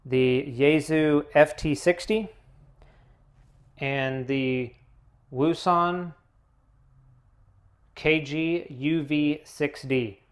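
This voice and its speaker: A man hosting a tutorial, giving hands-on instructional narration in a measured, methodical way. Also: a little high. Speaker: tutorial host.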